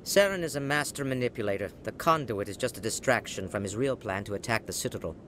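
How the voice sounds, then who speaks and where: An actor playing a brisk, skeptical alien councilor in a video game, clipped and formal. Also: male voice, mid-range voice, animated, background noise, close to an everyday voice. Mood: dismissive.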